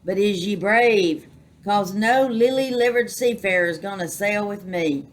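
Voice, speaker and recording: A woman voicing a gruff, cranky, demanding captain from a storybook, bellowing in salty dialect. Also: medium pitch, measured pace, natural voice. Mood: sizing up. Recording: noticeable background noise.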